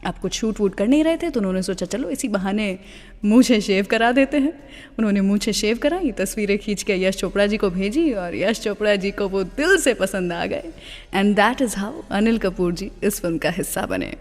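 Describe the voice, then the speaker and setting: A woman's voice: mid-range voice, lively intonation, normal speed, darkish tone. Indian woman radio host in long reverb. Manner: conversational storytelling, mixing Hindi with English phrases. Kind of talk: scripted radio presenting with film trivia between songs. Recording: long reverb, music underneath.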